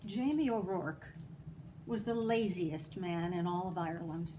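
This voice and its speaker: A woman's voice: on the low side, measured pace, background noise. A woman reading a children's folktale aloud as a storyteller, with dramatic emphasis and little asides to listeners. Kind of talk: expressive read-aloud narration of a children's folktale.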